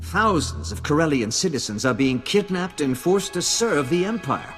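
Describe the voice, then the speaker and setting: An earnest male senator in a video game, slightly high and very animated. He speaks with emphatic principle in a reverberant hall. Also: normal speed. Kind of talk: video game cutscene dialogue. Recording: long reverberant hall, faint music.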